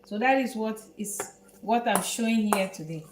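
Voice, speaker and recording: A Dutch woman with a Dutch accent, speaking English as her second language, hosting a live cooking tutorial from her home kitchen in an unhurried, halting way that repeats words as she works. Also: medium pitch, reverberant, background noise. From Netherlands. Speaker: home cook host.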